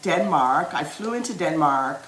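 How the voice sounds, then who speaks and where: Slow-talking woman cooking live. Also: low voice, expressive, fairly bright tone, reverberant, slight background noise. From Guyana. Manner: chatty, answers viewers' comments, laughs easily. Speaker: livestream cooking host. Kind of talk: casual livestream cooking chat.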